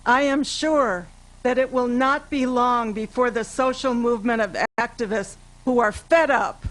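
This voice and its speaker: A woman's voice: a little high, poor audio. An older woman reads an earnest, declarative political speech into a stage microphone. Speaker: activist speaker. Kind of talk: speech read from paper at a rally. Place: indoor event stage with curtain. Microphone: microphone on a stand.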